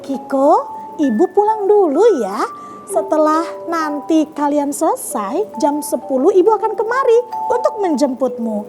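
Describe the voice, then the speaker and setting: A woman voicing a gentle, encouraging mother rabbit, soothing and drawing out her words in a children's story. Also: high-pitched, sing-song, measured pace, music in the background, pitched below the performer's own voice. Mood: reassuring.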